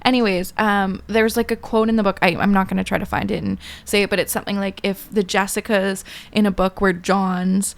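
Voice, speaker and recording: Woman, podcast co-host, creaky voice, casual book chat, opinionated, giggly. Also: mid-range voice, little pitch movement, a little fast, hardly any pauses.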